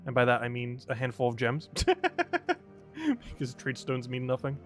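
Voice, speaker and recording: A man's voice: mid-range voice, level tone, normal speed, faint music behind. A young man from New Jersey with a New Jersey accent, chatting casually and rambling as he commentates a game stream, chuckling often.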